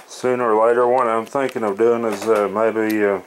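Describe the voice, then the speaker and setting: A man narrating casually and off the cuff, thinking aloud in a halting way as he tinkers, on a camera microphone in a small room. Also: lowish voice, level tone. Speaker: hobbyist demonstrating a reel-to-reel tape recorder. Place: small cluttered room at home, indoors. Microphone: camera microphone near the equipment. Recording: noisy camera audio with clicks.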